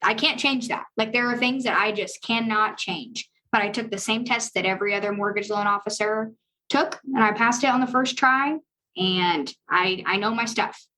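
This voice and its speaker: A young woman with a high-pitched voice talking in an upbeat, earnest and chatty way as a guest on a podcast. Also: normal speed, pushed and bright, low-quality recording. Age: young adult. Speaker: loan officer guest. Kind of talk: casual podcast conversation.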